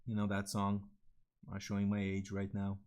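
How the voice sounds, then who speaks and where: A man's voice: on the low side, monotone, normal speed, a little mellow, clear, resonant tone, dull audio. Blunt Serbian-accented young man. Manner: blunt and profane, with lots of fillers. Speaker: vtuber streamer. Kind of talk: casual rambling stream chat.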